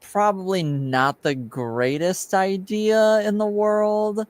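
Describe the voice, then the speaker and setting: A woman improvising narration as a tabletop game master, halting and pausing between phrases. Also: medium pitch, a little mellow, dry recording.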